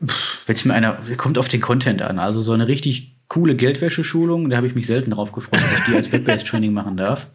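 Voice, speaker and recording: A man's voice: mid-range voice, lively intonation, fast, hardly any pauses. A man talking casually and with enthusiasm about his work as a finance advisor, with plenty of fillers. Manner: chatty, enthusiastic, uses lots of fillers. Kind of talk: casual conversation.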